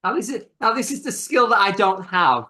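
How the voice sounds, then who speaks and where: Chatty man, lively and bright. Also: high voice, fairly quick. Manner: chatty, laughs often, self-deprecating. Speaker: host. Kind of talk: casual video-call chat.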